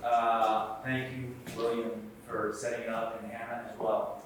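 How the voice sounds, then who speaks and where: A man who is an author and guest speaker, talking loudly and earnestly to a live audience with a lot of ums. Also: mid-range voice, flat delivery, a little slow, slight background noise. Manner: loud, earnest, rambling with fillers. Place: library event room. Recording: very echoey room, from far off.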